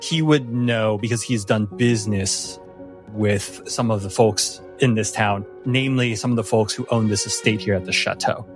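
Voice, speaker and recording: A man's voice: fairly low pitch, normal speed. Man narrating a tabletop game over music. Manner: descriptive, casual phrasing. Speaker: game master.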